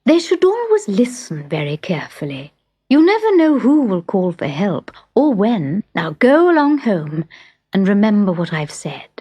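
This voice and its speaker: A woman voicing a gentle, wise fairy queen in a children's story, soft-spoken, patient and reassuring. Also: mid-range voice, big pitch swings, moderate pace, husky. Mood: kindly instructing.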